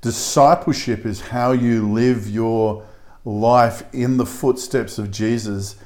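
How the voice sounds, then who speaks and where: A man's voice: medium pitch, steady tone, slow pace, a little mellow. A man who is a pastor, co-hosting a casual podcast discussion in an earnest, emphatic way with frequent fillers.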